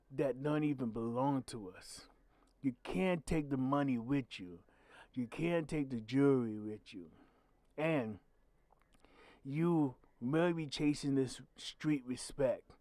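A man's voice: medium pitch, darkish tone, dry recording. A man with a growly voice, hosting his own channel and giving blunt, emphatic commentary with swearing. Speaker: channel host. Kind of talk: solo commentary podcast.

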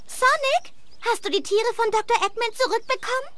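An actress dubbing a cheerful young female hedgehog heroine in a video game, with a bright, girlish voice. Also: very high-pitched, a little fast, slight background noise. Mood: pleading. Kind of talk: German video game dub. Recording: game audio with loud music.

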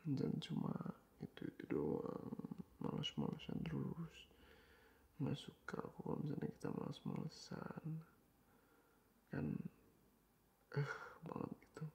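A young man in a boyfriend roleplay, talking softly and coaxingly in a half-whisper, dropping English phrases into his speech. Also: fairly high pitch, huge pitch swings, unhurried, gravelly, pauses often.

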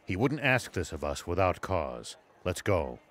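A man's voice: low-pitched, sing-song, a little slow, a bit bright, heavily acted. A man playing a stern, cautious, composed older sheriff in a video game. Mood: grave, measured. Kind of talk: video game cutscene acting.

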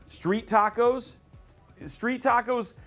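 A man's voice: extremely high pitch, very slow, faint music behind. A man in his thirties hosting a livestream from a studio while he builds a PC, playfully reading out guesses. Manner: playful, reads out guesses with excitement. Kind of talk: casual livestream chat.

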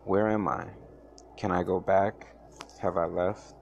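A man's voice: deep voice, steady tone, takes it slowly, vocal fry, darkish tone, muffled recording, a little hiss or hum, heavily acted. A man voicing an anguished, bewildered character in a story, questioning and pleading. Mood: troubled questioning. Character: anguished, bewildered man lost between life and death.

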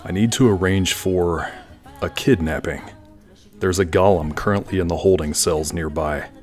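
Deep brooding villain played by a man. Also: faint music behind, natural voice. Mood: cold, commanding. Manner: dramatic, measured monologuing. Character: brooding, grandiose male villain plotting world domination. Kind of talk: fan audio drama acting.

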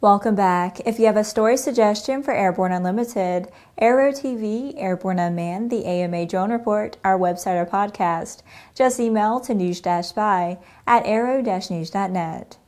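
A young woman anchoring a news show in a studio, reading a script in a steady, even newsreader delivery. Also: medium pitch, moderate pace. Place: studio in front of a green screen.

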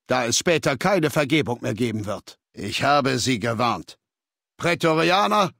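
An actor playing a ruthless, imperious male legion leader in a video game, with a measured, commanding, contemptuous manner. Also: on the high side, gravelly, husky, dry recording, clean studio sound, full character voice. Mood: menacing. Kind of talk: scripted game dialogue.

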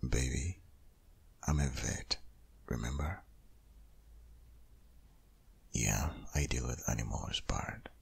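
Devoted boyfriend for ASMR roleplay, played by a man: extremely low, gravelly, half-whispered, anxious yet reassuring. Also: a little slow, full character voice. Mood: pleading, determined. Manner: hushed, urgent, coaxing, with sighs. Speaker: audio roleplay voice actor.

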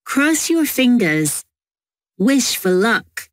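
Woman textbook narrator, slow and measured, dry studio sound. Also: on the high side, big pitch swings, no room sound.

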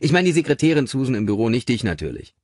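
A man voicing an excitable, high-pitched eccentric boss. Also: very fast, no echo, clean studio sound. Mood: rambling. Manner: rambling, self-interrupting, bossy. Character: excitable eccentric male boss rambling into an answering machine.

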